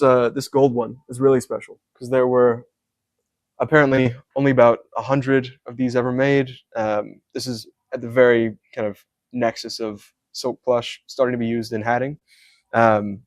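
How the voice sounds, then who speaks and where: Young man, Scottish-born hat maker; soft-spoken, flat and even, lots of ums, dry close sound. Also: medium pitch, dry recording. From Scotland. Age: young adult. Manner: soft-spoken, many ums, detailed explanations. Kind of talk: casual interview.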